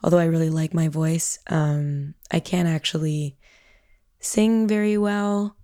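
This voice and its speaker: A woman artist talking reflectively about her work, with a low voice of limited range and frequent pauses and fillers. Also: steady tone, dark tone, no echo, clean studio sound. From Canada. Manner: thoughtful, with frequent ums and hedging "kind of". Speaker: artist and audio producer. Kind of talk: reflective interview answer.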